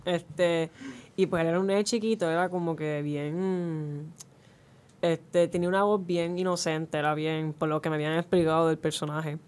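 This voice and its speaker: A man's voice: fairly high pitch, steady tone, moderate pace. A young man with a Puerto Rican accent chatting casually and hesitantly as an interview guest, very informal. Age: young adult. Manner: hesitant, informal, leans on filler phrases. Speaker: student voice actor, interview guest. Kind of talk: casual chat.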